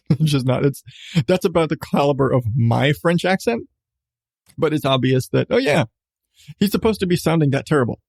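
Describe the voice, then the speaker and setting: A man hosting a podcast, giving a casual, rambling review with halting starts, repeated phrases and an irreverent, sweary manner. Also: a little high, lively intonation, creaky, a little mellow, dry recording, studio quality.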